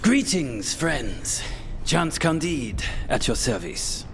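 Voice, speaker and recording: A theatrical gentleman assassin for a fantasy game. He is formal and flamboyant, speaking slowly with a lively, swooping pitch. Ambient noise sits behind the voice. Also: vocal fry, full character voice. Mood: flamboyant greeting. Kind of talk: video game acting.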